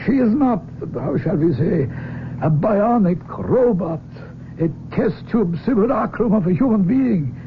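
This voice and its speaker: High-voiced, weary old Russian doctor. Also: male voice, lively intonation. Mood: wry, tender. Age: older. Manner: formal, deliberate phrasing, searching for words. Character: aging Russian research doctor, weary, bitter, proud exiled father. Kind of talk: 1970s radio drama acting. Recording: noisy, narrow-band old radio recording.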